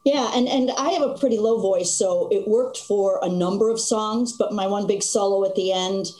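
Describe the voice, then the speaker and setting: A woman's voice: mid-range voice, normal speed, echoey room, poor audio. An older woman, a stage actress, telling stories in an interview with laughs along the way. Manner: animated storytelling with frequent laughs. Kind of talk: conversational interview.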